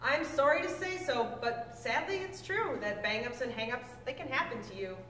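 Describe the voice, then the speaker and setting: A woman reading a children's book aloud to a class, with expressive, deliberate storybook phrasing. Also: sits high. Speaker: teacher reading aloud to sixth grade students. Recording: echoey hall, distant mic, faint noise.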